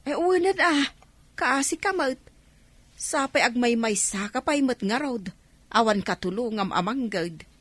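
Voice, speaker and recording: A woman's voice: fairly high pitch, expressive, unhurried, fairly bright tone, no echo. A woman with an Ilocano accent playing a long-suffering village mother who admonishes her grown daughter in a radio drama. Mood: apologetic, weary. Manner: admonishing, exasperated.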